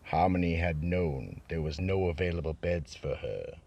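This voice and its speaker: A man playing a brooding vault keeper, narrating a horror radio drama in a slow, ominous, theatrical manner. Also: low voice, animated, vocal fry, a little mellow, heavily acted. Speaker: horror anthology host and narrator.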